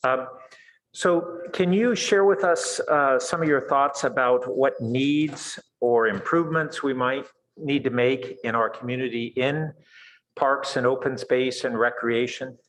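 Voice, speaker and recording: A man on a city council asking an applicant a question in a measured, friendly way over a video call. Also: mid-range voice, a bit bright, low-quality recording. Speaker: council member. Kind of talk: formal question at a public meeting.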